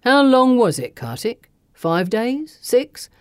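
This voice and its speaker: A woman's voice: fairly high pitch, big pitch swings, slow, smooth, dry recording, studio quality, pitched above the performer's own voice. A woman narrator voicing a menacing male villain who taunts and threatens with a drawling sneer, in an audiobook. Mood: cruel gloating. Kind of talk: audiobook character voice.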